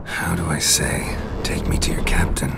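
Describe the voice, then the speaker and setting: A hardened monster hunter from a fantasy game. His voice is very deep and gravelly, his delivery terse, dry and sardonic. A loud rumble of ship and storm surrounds him. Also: fairly even intonation, moderate pace, exaggerated voice. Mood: puzzled, terse. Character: gruff, sardonic monster-hunting mercenary held captive. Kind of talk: video game voice acting.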